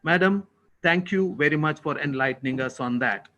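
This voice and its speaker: A man with an Indian accent giving a formal, prepared thank-you speech as a college official, with deliberate, ceremonious and courteous phrasing. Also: fairly high pitch, pushed and bright, highs cut off.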